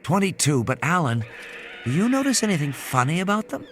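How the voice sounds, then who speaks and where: Guy doing a curious lady scientist, pitched up and swooping, insistent, audio play with noise behind. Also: big pitch swings, fairly quick, fairly bright tone. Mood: insistent, intrigued. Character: earnest observant woman scientist, insistent and curious. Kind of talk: audiobook character dialogue.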